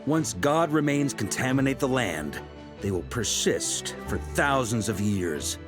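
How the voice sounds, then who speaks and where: An actor playing a serious knight leader who explains in a measured, authoritative way. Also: male voice, medium pitch, animated, over music, lightly acted.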